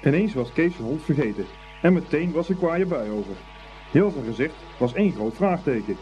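A man narrating a scripted story for a Dutch radio play in an expressive, unhurried storytelling manner. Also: fairly high pitch. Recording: dull old radio recording, faint music.

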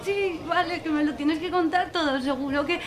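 A woman playing an excitable, affectionate little sister in a radio drama. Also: sits high, moderate pace, crowd noise, background noise, natural voice. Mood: excited, affectionate. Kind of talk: amateur radio drama acting.

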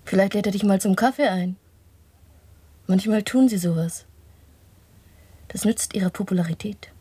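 An actress playing a questioning young woman, matter-of-fact, with a breathy, husky voice in a German radio drama. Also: medium pitch, moderate pace, dark tone, no room sound, lightly acted. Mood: casual, indulgent.

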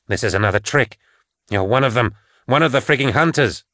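A man's voice: mid-range voice, animated, brisk, fairly bright tone, no echo, clean studio sound, voice pushed up, close to an everyday voice. A man voicing a frightened, defiant young woman who is being hunted, speaking in panicky, lashing-out bursts. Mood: suspicious.

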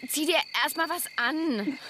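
A woman's voice: high voice, unhurried, poor audio. An actress playing a flippant, teasing young woman with an offhand delivery in a radio crime drama. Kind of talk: naturalistic German radio drama acting.

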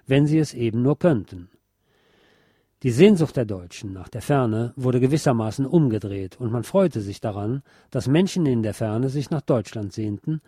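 A man reading a scripted documentary narration in a measured, articulate way. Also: mid-range voice, warm tone, no echo, studio quality.

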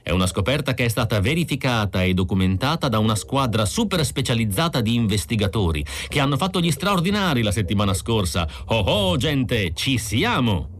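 A man's voice: medium pitch, very animated, a little fast, hardly any pauses, voice pushed up, close to an everyday voice. A man voicing a gushing, self-important television showman with a theatrical, emphatic announcer delivery, in an audiobook story. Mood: excited, showy.

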